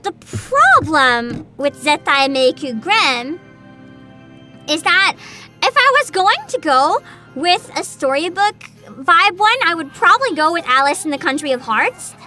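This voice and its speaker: Female VTuber host, very high-pitched and bright, animated and expressive casual chat over background music. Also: measured pace. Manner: giggly, excitable, expressive.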